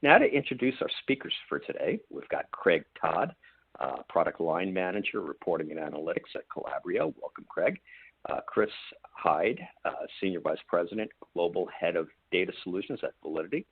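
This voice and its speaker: A man's voice: low voice, animated, a little fast, vocal fry, slightly rough, no echo. A man moderating a live webinar, reading announcements and introducing speakers. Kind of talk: scripted event hosting. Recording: dry tinny phone-like line.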